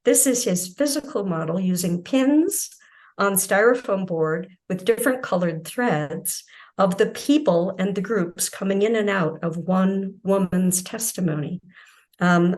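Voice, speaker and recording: A woman gives an academic keynote, walking the audience through her research. She speaks in a measured, explanatory way with a slightly low voice. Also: a little mellow. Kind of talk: academic lecture.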